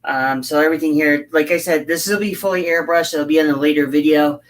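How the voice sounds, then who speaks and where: A hoarse woman chatting casually on a livestream as she builds a scale model, rambling and halting with frequent fillers. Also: sits low. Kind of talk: casual livestream chat while working.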